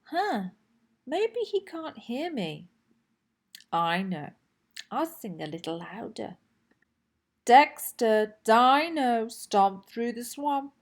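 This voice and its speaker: Woman as storybook toy dinosaur, excitable, childlike, pleading, lively swooping intonation, very slow pace. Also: a little high, heavily acted. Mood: singing out. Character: excitable, anxious toy dinosaur, boastful little boy-like friend. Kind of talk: children's storybook read-aloud.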